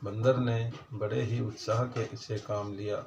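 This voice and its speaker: A man telling children's moral stories in an informal narration, with halting, broken phrasing and pauses. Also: lowish voice, flat delivery, vocal fry. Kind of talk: informal storytelling narration in Hindi. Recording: dull lo-fi sound with faint noise.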